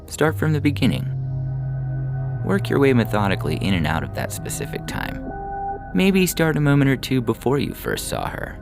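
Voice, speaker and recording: A man voicing a calm, professional male medical technician in an audio short story, explaining procedures in a measured, reassuring way. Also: mid-range voice, vocal fry, voice pushed up. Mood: gentle, guiding. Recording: music bed under the reading.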